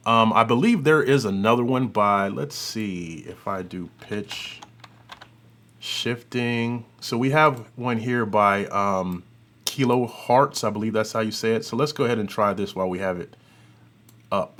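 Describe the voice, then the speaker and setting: A man hosting an audio software tutorial, giving an informal, unscripted demonstration and thinking aloud as he works. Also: lowish voice, a little slow. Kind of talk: informal demonstration and review commentary.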